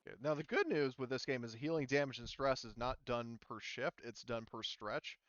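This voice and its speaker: A man running a tabletop game as game master, narrating and explaining rules in an informal, halting way. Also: mid-range voice, a bit bright, dry recording, highs cut off. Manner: informal, halting, often rephrasing mid-sentence.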